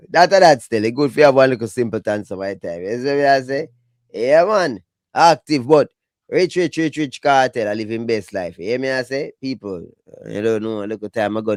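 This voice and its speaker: A man's voice: lowish voice, no echo. Man, Jamaican accent, emphatic patois commentary, dry and close. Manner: emphatic, animated patois talk. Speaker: dancehall news commentator. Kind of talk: casual commentary.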